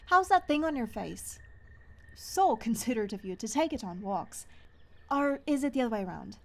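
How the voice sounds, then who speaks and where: A woman's voice: on the high side, very animated, normal speed, creaky. An actress playing a defiant, dryly mocking townswoman in a fantasy audio drama, with a cutting delivery. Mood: dry mockery. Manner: dry, cutting. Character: sharp-tongued, defiant female local, loyal and dryly mocking. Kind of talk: fantasy audio roleplay acting.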